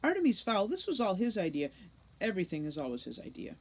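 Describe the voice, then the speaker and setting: A woman's voice: medium pitch, huge pitch swings, fast talker, darkish tone, pitched above the performer's own voice. A woman reading a storybook, voicing a vain, gushing, teasing teenage girl captor. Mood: breezy scolding.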